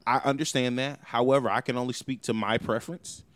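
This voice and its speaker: Swearing, animated male podcast host. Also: mid-range voice, a little fast, no echo. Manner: animated storytelling, swears freely. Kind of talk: casual chat.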